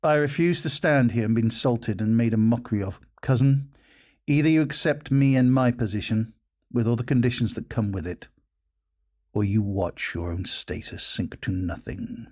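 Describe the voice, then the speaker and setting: A man's voice: medium pitch, normal speed, darkish tone, lightly acted. A man playing a haughty, offended aristocrat in an audio drama, pompous, cold and indignant in manner.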